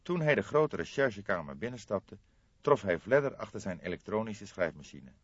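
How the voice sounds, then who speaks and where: A male audiobook narrator reads literary prose in a low voice with lively intonation. The recording is slightly dull and roomy. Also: normal speed, breathy edge.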